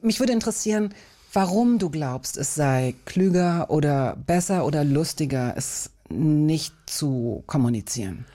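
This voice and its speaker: A woman's voice: low voice, expressive, a little slow, warm tone. A woman interviewing a guest, asking probing and playful questions with occasional fillers.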